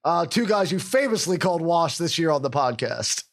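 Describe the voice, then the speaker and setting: Upbeat higher-voiced male podcast host. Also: normal speed. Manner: energetic, emphatic storyteller. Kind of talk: casual sports podcast chat.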